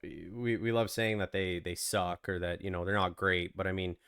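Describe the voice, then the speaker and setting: Low, hoarse-voiced sick man. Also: flat delivery, moderate pace, a bit bright, no echo, studio quality. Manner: laid-back, riffing, laughs. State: hoarse from illness. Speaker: podcast co-host. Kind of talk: casual chat.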